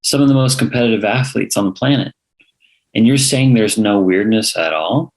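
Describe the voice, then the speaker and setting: A man's voice: fairly low pitch, normal speed, natural voice, rough recording. A man reading the part of a skeptical, pushy young hipster journalist in a script table read. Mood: pressing, skeptical.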